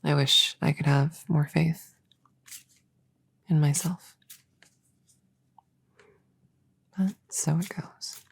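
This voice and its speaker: Young novice witch played by a woman, low-pitched and breathy, friendly but unsure of herself, hushed ASMR roleplay delivery. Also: steady tone, takes it slowly, dry recording, exaggerated voice. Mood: anxious, hopeful. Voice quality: breathy, soft. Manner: hesitant, rambling, intimate chatter to a friend. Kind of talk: soft ASMR roleplay, partly whispered.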